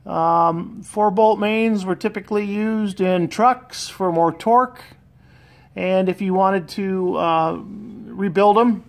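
Higher-pitched American man thinking aloud. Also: fairly even intonation, slow pace. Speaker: DIY boat restorer and vlogger. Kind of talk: casual unscripted walkthrough. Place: garage with concrete floor. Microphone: handheld camera, operator behind it.

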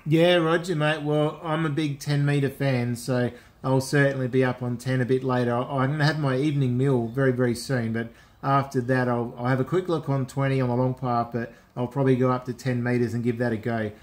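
An Australian man talks as an amateur radio operator in a casual, matey way. His voice sits at an average pitch, and he drops in radio call phrases as he goes. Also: fairly even intonation, brisk. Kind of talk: casual ham radio contact. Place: indoors at a radio set.

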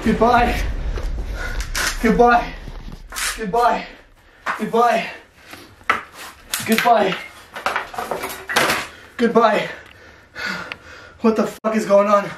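A young man vlogging a staged paranormal challenge in a dark abandoned building at night, hoarse and panicked, talking in shaken, hushed bursts. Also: sits high, steady tone, extremely slow, pauses often, echoey room, noisy background. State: hoarse, complaining of a sore throat.

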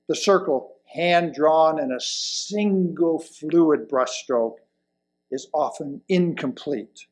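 An elderly man reading a sermon from a lectern, with deliberate, emphatic phrasing and pauses. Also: on the high side. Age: older, around 70s or 80s. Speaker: lay presenter reading a sermon. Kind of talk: sermon read from a pulpit. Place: indoors at a wooden lectern in a church hall. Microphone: lapel mic.

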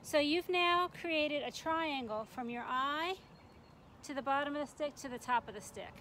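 A woman's voice: high-pitched, moderate pace, pushed and bright. A middle-aged American woman giving an informal, plain-spoken instructional demonstration outdoors in a park. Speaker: extension forester, instructor. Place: outdoors in a grassy, tree-lined park. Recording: outdoor ambient noise.

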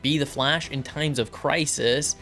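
A man's voice: on the high side, unhurried, soft background music. A man in his thirties talking expressively and conversationally in a casual review, close on the microphone in a home studio. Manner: expressive, conversational, acting out reactions and quotes. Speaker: YouTube reviewer and host. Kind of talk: casual spoken review to camera. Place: small home studio room. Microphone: close microphone on a boom arm.

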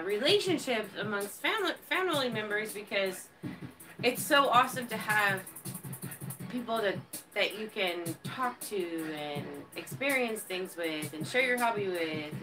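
A woman chatting casually as co-host of a livestream. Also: on the low side. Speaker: co-host with own channel. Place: home kitchen, indoors. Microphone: camera a few feet away. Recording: distant mic, music behind.

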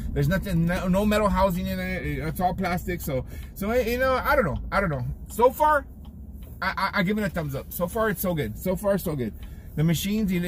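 A middle-aged barber vlogger talking casually from his car, rambling and restarting his sentences often. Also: sits high. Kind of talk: casual vlog talk. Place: parked car. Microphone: phone camera on the dashboard. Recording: noisy car interior.

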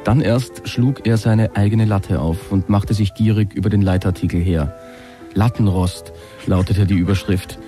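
Male comic storyteller, dark mellow tone, deadpan, faint music behind. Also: fairly low pitch, moderate pace. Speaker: comedian reading his own comic story. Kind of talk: deadpan comic reading with casual chat.

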